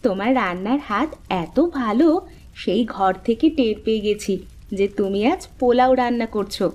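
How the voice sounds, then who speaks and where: A woman voicing a lively, bossy but caring young woman in a cartoon, with a chirpy, insistent manner. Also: medium pitch, moderate pace, lightly acted. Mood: chirpy, eager. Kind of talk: cartoon dialogue acting.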